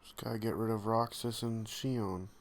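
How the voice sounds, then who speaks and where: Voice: mid-range voice, steady tone, moderate pace. A reaction video host giving casual commentary on a game, musing aloud in short, informal remarks.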